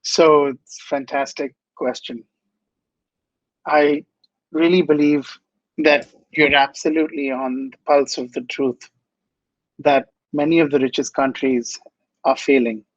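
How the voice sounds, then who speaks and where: A man's voice: on the high side, level tone, measured pace, long pauses, no echo, muffled recording. A man from India, speaking earnestly and with passion as a guest in an interview, often repeating phrases for emphasis. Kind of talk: inspirational interview answers.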